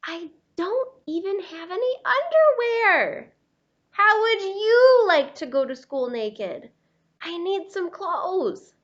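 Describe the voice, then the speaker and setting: A woman puts on a mortified peach crayon for a children's book. It is high and swooping, with plaintive, exclaiming complaints. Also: very animated, slow, highs cut off, heavily acted. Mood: embarrassed, pleading. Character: embarrassed, distressed, naked peach crayon.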